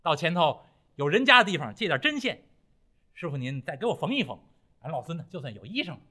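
A man's voice: a little high, very animated, quick pace, bright, forceful tone, heavily acted. A man voicing a cocky, fearless monkey king disciple in an oral tale, boastful and breezy.

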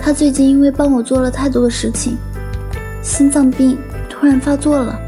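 An actress playing a troubled, devoted young student and older sister in an audio drama. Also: female voice, high voice, flat delivery, normal speed, mellow, faint music behind, natural voice. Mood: sad, hesitant. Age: young adult. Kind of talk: Chinese audio drama acting.

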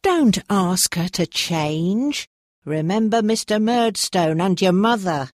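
A woman playing a firm but caring aunt who gives advice slowly. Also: mid-range voice, lively intonation, a little mellow, dry recording, clean studio sound. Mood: advising.

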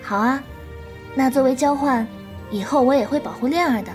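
High, tender young female disciple, played by a woman. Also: moderate pace, soft background music, natural voice. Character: gentle, affectionate young female disciple, elder martial sister. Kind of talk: Chinese period audio drama acting.

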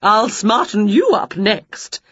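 A fussy, bossy older witch aunt from a children's storybook, acted out by a woman. She scolds slowly and condescendingly, drawing words out with big swoops of pitch. The recording is close and a little dull. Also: big pitch swings, fairly bright tone, slightly rough, no room sound, heavily acted. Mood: bossy, disapproving.

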